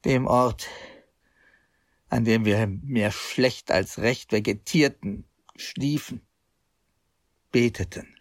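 A man's voice: mid-range voice, slow pace, pauses often, no echo, clean studio sound, natural voice. An actor playing a young crusade survivor recounting his ordeal in a radio play, bitter and agitated. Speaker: narrator.

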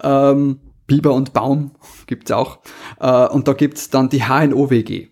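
Casting: man with an average-pitched voice, informal podcast host, keen and easily amused. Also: steady tone, a little slow, darkish tone. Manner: enthusiastic, amused. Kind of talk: casual chat, recommending audio plays.

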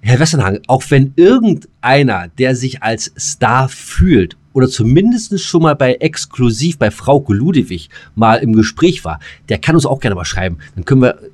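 A man's voice: mid-range voice, animated, fairly quick, darkish tone, no echo. A man co-hosting a podcast in casual, rambling banter, mock-formal and joking. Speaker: podcast co-host.

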